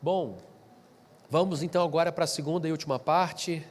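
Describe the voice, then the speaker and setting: Male lecturer, stuffy and nasal from allergies, higher-pitched than most men, chatty delivery with restarts, long hall reverb. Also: steady tone, normal speed, a little hiss or hum. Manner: conversational, with frequent restarts and asides. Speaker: speaker at a pastors' training session. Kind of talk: spoken lecture to a live group.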